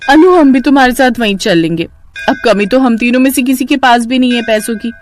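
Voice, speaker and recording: A woman's voice: a little high, brisk, faint music behind, lightly acted. A woman voicing a rich woman's snobbish friend, clannish and eager to go along, in a moral cartoon story. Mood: agreeable.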